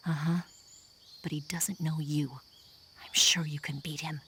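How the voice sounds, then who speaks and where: An actress playing a female spy giving a soft, steady briefing over radio in a game. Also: deep voice, a little slow, a little hiss or hum.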